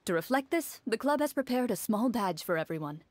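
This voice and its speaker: A woman voicing a polite young woman calmly explaining the rules of a game. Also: on the high side, brisk, no echo. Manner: formal, instructive.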